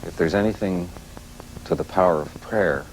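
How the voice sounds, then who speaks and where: An actor playing a solemn, weary 1980s TV news anchor with measured, halting delivery. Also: male voice, deep voice. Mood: somber, reflective. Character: grave, weary male network news anchor covering nuclear crisis. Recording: noisy old TV broadcast audio.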